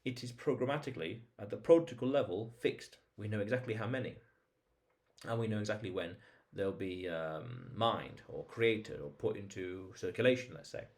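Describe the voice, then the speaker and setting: A man's voice: on the low side, normal speed, creaky. A man hosting a vlog, talking informally to viewers in an explainer monologue, emphatic, repeating phrases and posing rhetorical questions. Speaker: vlog host.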